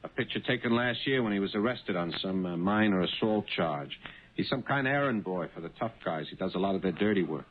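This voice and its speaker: An actor playing an uneasy, honest working-class cab driver in a 1970s radio drama. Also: male voice, deep voice, animated, fairly quick. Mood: eager. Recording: tinny, band-limited 1970s radio broadcast.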